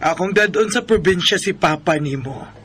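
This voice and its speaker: A woman's voice: lowish voice, expressive, moderate pace, fairly bright tone, husky. A woman playing a caring provincial mother in a radio drama. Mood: concerned. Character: caring provincial mother giving her child instructions.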